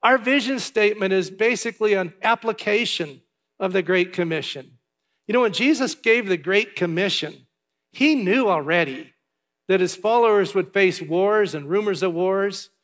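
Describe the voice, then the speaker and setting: A pastor from Canada giving church announcements and a sermon in a chatty way, with asides and filler words. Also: male voice, sits high, normal speed, a bit bright. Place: large church hall. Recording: long hall reverb.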